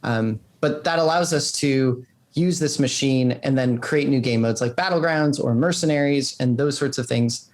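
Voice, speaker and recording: A man's voice: medium pitch, moderate pace, few pauses. A man answering interview questions as a game developer, casual and rambling, often saying "like". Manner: rambling, peppered with "like".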